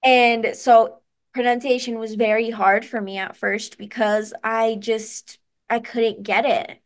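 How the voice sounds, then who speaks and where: A woman's voice: a little high, little pitch movement, slow. A young adult woman, a singer and guest, talking casually and upbeat with lots of fillers and restarts. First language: English. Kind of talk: casual interview chat.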